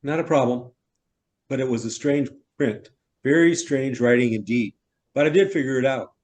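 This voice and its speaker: A man's voice: medium pitch, moderate pace, a little mellow, close to an everyday voice. A man playing an effusive, wordy middle-aged novelist from New York in a theatrical stage reading. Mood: chatty. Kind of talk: stage reader's theater acting.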